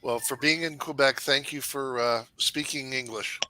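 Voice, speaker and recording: A man's voice: medium pitch, steady tone, normal speed, a crowd in the background, poor audio. A man hosting a casual livestream chat, chatty and teasing with his guest. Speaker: channel host.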